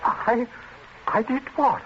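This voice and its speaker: A man acting in a 1940s radio thriller as a frightened, cornered gentleman, stammering and flustered as he pleads. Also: extremely high pitch, fairly even intonation, slow pace, heavily acted. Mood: panicked pleading. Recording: dull, noisy vintage 1940s radio recording.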